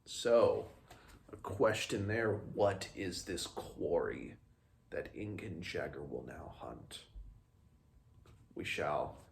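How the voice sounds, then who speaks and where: A man in his twenties talks through a book in a very deep voice with plenty of vocal fry. He moves between retelling and reading aloud, a little haltingly, restarting as he goes. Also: lively intonation, slight background noise. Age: 20s. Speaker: book reviewer. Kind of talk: book read-along, recapping and reading aloud. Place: small room at home, indoors.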